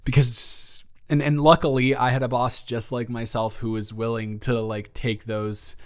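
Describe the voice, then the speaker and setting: A man acts out a friendly male office boss with a mid-range voice. He speaks in a halting, conversational way, restarting his phrases as he goes. It is an intimate audio roleplay, addressed to a new intern with a flirty, slightly nervous excitement. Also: mid-range voice, natural voice. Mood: flirty, amused. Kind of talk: improvised romantic audio roleplay, spoken to the listener.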